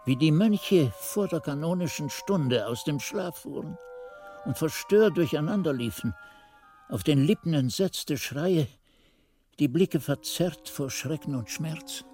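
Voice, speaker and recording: An actor playing a reflective old monk narrating grim memories in a radio drama, with a husky, mellow voice and literary delivery. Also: male voice, on the high side, animated, unhurried, soft background music. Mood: grave, reflective.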